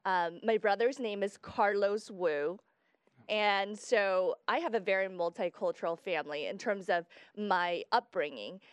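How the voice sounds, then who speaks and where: Young woman guest from Guatemala, mid-pitched, chatty, dry close sound. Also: measured pace, clean tone, no echo. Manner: chatty, explains at length with fillers. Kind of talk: casual interview chat.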